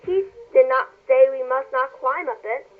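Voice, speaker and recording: A woman reading a storybook aloud as a defiant, excitable little girl with an insistent manner. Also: high-pitched, normal speed, voice pushed up, natural voice. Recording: muffled phone-like line, music behind.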